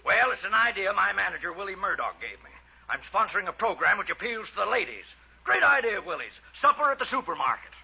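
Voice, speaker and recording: A man's voice: high-pitched, sing-song, fast, vocal fry, pushed and bright, husky, full character voice. An actor playing a grumpy, disapproving father and pompous store owner, blustering in a 1940s radio comedy style. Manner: blustering, exasperated, scolding. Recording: muffled, faintly hissy old radio recording.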